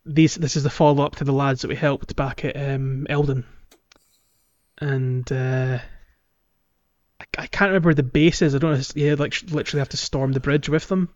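A man's voice: mid-range voice, steady tone. A man co-hosting a casual gaming podcast, chatty and jokey, in loose conversational talk. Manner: jokey, chatty, quick to laugh. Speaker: podcast co-host. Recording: dull, lo-fi voice recording.

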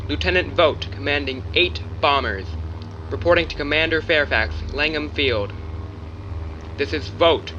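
A man's voice: fairly high pitch, sharp tone. A man playing a bomber pilot making clipped, formal military reports in a radio drama. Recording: dull lo-fi radio, faint engine hum.

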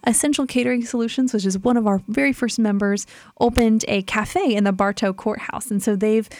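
A woman's voice: mid-range voice, darkish tone, no room sound. Woman, kitchen director guest, upbeat and promotional, lots of fillers, dry close sound. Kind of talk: interview answers.

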